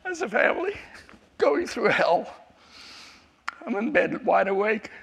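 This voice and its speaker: Rambling, bright-voiced man in his fifties. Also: sits high, sing-song, unhurried, vocal fry, slightly rough. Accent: American. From South Africa. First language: Afrikaans. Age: 50s. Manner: rambling, self-interrupting, jokey. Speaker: church class speaker. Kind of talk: personal testimony talk.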